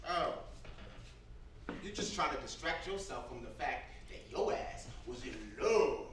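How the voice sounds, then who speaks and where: A man's voice: on the high side, animated, unhurried. A man playing a brash, teasing younger brother just out of prison, in loose, playful street talk at a staged script reading. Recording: very echoey room from far off, loud noise.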